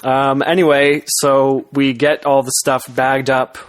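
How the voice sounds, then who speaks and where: A man's voice: mid-range voice, little pitch movement, clear, resonant tone. A young man with a mid-range voice talks as a podcast co-host. He tells his story loosely and casually, doubling back on himself and swearing offhandedly as he goes. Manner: halting, self-interrupting, swears casually. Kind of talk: casual storytelling chat with friends.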